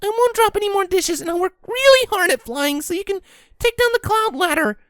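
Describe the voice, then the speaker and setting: Young cartoon filly: very high, swooping voice, wounded and pleading, faltering delivery, dry close sound. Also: female voice, expressive, brisk, fairly bright tone, no echo. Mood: pleading, eager. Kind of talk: dramatic audio story acting.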